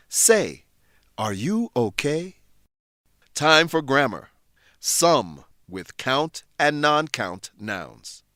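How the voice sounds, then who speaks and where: A man narrating a scripted English lesson, explaining things in clear phrases for learners. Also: medium pitch, sharp tone, no echo, clean studio sound.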